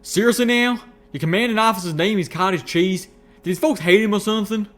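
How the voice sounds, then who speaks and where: A man's voice: high-pitched, a little fast, fairly bright tone, pitched above the performer's own voice. A man voicing a folksy, blunt young pegasus with an in an audiobook story. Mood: incredulous, disgusted. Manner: drawling, folksy, incredulous.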